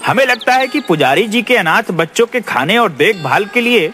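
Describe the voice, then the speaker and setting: A man's voice: sits high, expressive, normal speed, pushed and bright, faint music behind. A man voicing a polite, wise young man who reasons respectfully before a king in a cartoon story. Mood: earnest. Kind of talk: dubbed cartoon acting.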